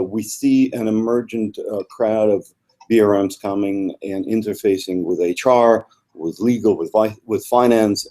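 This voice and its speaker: A middle-aged man from Ukraine with a Ukrainian accent, a guest speaking in a measured way with small slips and restarts. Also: lowish voice, mellow, rough recording. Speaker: guest, institute co-founder. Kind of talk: video interview.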